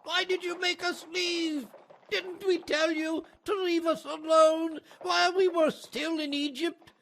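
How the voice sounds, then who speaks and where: A man's voice: flat delivery, measured pace, a bit bright, full character voice. A man voicing a panicked escaped slave who complains bitterly and accusingly in a strained voice, in a dramatized Bible reading. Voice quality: strained, creaky shrill voice.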